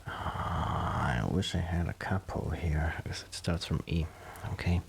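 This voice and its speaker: A man chatting casually and intimately in an ASMR roleplay, soft and hesitant, self-deprecating, often dropping close to a whisper. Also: low-pitched, fairly even intonation, takes it slowly, gravelly, a little hiss or hum. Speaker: ASMR performer and amateur musician friend.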